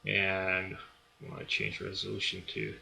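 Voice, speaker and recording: A man giving a software tutorial, explaining each step in an unhurried way with many fillers, speaking into a desktop studio microphone. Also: low-pitched, monotone, gravelly, breathy edge. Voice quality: loud voice. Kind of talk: screen-recorded tutorial.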